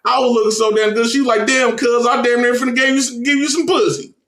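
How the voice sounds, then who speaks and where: A man's voice: very high-pitched, little pitch movement, fast talker, fairly bright tone, roomy sound. A man bragging about himself in a casual group chat, laughing often and telling stories animatedly. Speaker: panel guest.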